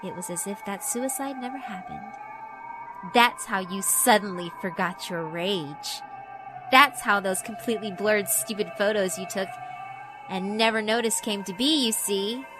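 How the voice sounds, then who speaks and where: Music plays clearly behind a cruelly amused female school spirit, performed by a woman. Her voice sits at a medium pitch as she narrates a horror visual novel with theatrical, mock-polite menace, taunting the listener with pointed questions. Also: unhurried, lightly acted, low-quality recording. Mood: smug, taunting.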